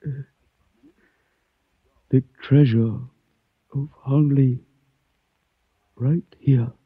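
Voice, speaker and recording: A man's voice: medium pitch, fairly even intonation, extremely slow, breathy edge, no room sound. An actor playing a courteous, solemn and resolute Chinese man in a 1940s radio drama, with formal, ceremonious speech. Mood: weak, dying, solemn. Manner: formal, ceremonious, proverb-quoting phrasing. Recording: muffled old-time radio recording.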